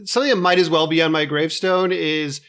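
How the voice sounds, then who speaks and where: A man's voice: fairly high pitch, steady tone, fast talker, fairly bright tone. A man hosting a podcast with dry, joking banter. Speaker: podcast host. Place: in-person table recording.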